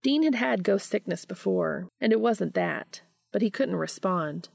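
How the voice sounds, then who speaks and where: A woman hosting a podcast and reading fan fiction aloud in an expressive audiobook style. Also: mid-range voice, a little slow, creaky, slightly rough, dry recording.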